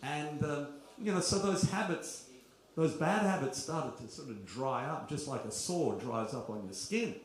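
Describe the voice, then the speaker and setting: A man's voice: on the high side, animated, a little slow. Chatty man in an echoey hall. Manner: chatty and confessional, rhetorical questions, self-mocking asides. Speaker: kirtan speaker. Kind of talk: informal spiritual talk. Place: large echoey room at a kirtan gathering. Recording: very roomy, faint background noise.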